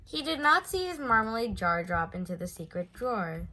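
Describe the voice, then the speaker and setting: A woman reads a children's storybook aloud with a bright, forceful voice. Her pitch moves in lively swoops as she leans on key words, giving an expressive storytelling delivery. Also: moderate pace, poor audio. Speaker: storybook reader.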